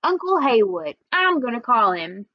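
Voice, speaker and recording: A woman reader plays a worn-out, worried working woman from a storybook. She talks in a folksy, plain way, pitched high. The recording is dull and very dry. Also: very animated, normal speed, no echo. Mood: excited. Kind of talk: storybook read-aloud dialogue.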